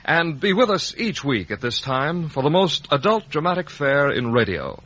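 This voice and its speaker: A man's voice: on the high side, very animated, moderate pace, a bit bright. A man announcing a 1950 network radio program in a formal, polished manner. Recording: muffled vintage radio broadcast.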